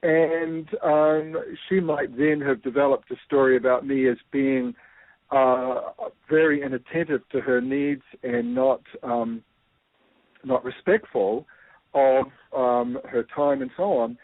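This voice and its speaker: A man's voice: mid-range voice, steady tone, takes it slowly, dry recording. Man, New Zealand accent, thoughtful storyteller, tinny phone-like sound. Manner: thoughtful, many fillers. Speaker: guest. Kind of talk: explaining with a personal story.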